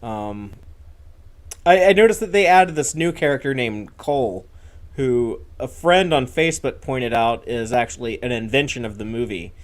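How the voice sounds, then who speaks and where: A man in casual conversation as a show panelist, talking in a halting, rambling way. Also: mid-range voice. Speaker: panelist on a live pop culture show. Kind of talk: casual chat, reminiscing.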